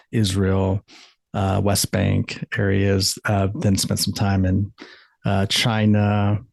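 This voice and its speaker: A man's voice: fairly low pitch, a little mellow, dry recording. A middle-aged man from the United States, an author and life coach, answering interview questions in a thoughtful, earnest way with frequent restarts.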